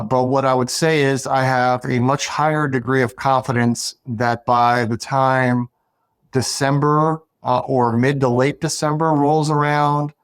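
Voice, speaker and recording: A man appearing as an interview guest, explaining things in a measured way with hesitant fillers. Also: medium pitch, smooth, no room sound. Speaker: guest, foundation executive. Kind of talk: podcast interview.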